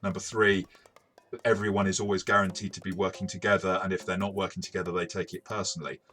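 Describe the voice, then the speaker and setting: Very deep-voiced man, bright and forceful. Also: little pitch movement, fast talker. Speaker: game reviewer and host. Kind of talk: casual analytical review discussion.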